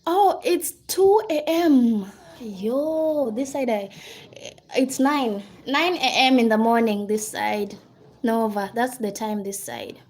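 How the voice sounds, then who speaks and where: A Kenyan woman with a notably high voice, talking loosely and informally as she hosts a livestream. She reads viewers' comments aloud, answers them in a chatty way, and keeps thanking people by name. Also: slow pace, a little mellow. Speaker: livestream host. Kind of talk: casual livestream chat.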